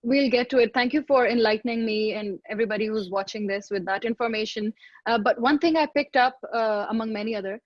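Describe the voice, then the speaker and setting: A woman interviewer with a slightly high voice, asking her guest questions politely and attentively. Her sound is dry, with no room around it, and slightly muffled, as if on a modest mic. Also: brisk, no echo. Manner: polite, attentive, frequent backchannel murmurs. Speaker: podcast host and interviewer.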